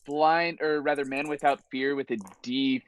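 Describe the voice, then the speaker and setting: A man's voice: on the high side, level tone, moderate pace, smooth. A man chatting casually and playfully as a player in a tabletop roleplaying game. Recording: slightly dull lo-fi mic, music behind.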